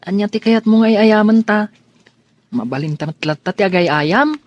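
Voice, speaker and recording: A woman plays a gentle, grateful Filipino child in a radio drama. The voice is mid-pitched, on a dry, slightly dull recording. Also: animated, normal speed, dry recording, natural voice. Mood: wistful, grateful. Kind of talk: Philippine radio drama acting.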